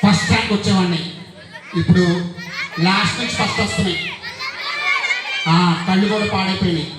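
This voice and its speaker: A woman's voice: medium pitch, fairly even intonation, brisk, natural voice. A woman voicing a troubled young boy puppet who confesses and lectures children in a kids' puppet show. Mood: confessional, warning. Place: church hall with children. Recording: echoey hall, distant mic, noisy crowd.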